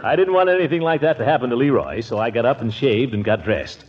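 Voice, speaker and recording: An actor playing a pompous, fussy middle-aged uncle in a 1940s radio comedy, blustery and given to chuckles. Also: male voice, mid-range voice, expressive, fast, natural voice. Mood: nostalgic, jovial. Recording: muffled, noisy 1940s radio recording.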